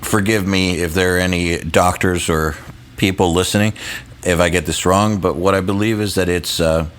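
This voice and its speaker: Male podcast host, deep voice, laid-back storyteller, casual swearing. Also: fairly even intonation, normal speed, fairly bright tone. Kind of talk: casual chat.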